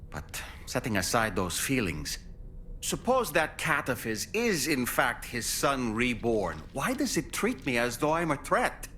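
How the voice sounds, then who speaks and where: An actor playing an earnest, curious and sensitive companion who talks politely and inquisitively in a cinematic video game. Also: male voice, mid-range voice, pushed and bright, a little hiss or hum.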